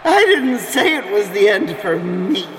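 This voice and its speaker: A woman's voice: medium pitch, very animated, unhurried, over a noisy crowd. A woman playing a grim, defiant arena fighter in an audio drama, speaking through an arena microphone. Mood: grim defiance. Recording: reverberant, noisy arena microphone.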